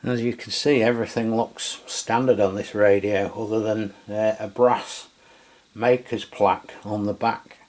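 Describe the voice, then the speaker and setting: A man's voice: lowish voice, fairly even intonation, a little slow, darkish tone. A man with an English accent giving a casual hands-on product review in an informal, explanatory way. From England. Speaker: radio reviewer and channel host.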